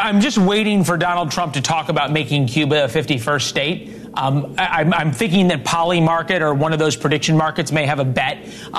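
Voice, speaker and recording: Male cable news host, slightly high-pitched, casual and wry. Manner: conversational, wry, with fillers.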